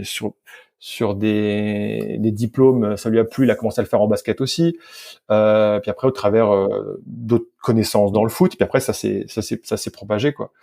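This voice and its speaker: A man's voice: medium pitch, gravelly, warm tone. A man from France recounting his work casually as a podcast guest, in informal, colloquial speech with repeated words and self-corrections. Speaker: podcast guest, sports scientist and physical coach. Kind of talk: casual interview storytelling.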